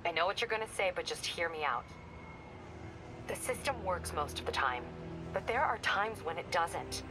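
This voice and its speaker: A stubborn ex-police captain turned vigilante, played by a woman, speaks in a low, cool, controlled voice. She sounds set in her resolve. A game music score plays clearly under her lines. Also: animated, moderate pace, natural voice. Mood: cold. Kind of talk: video game cutscene acting.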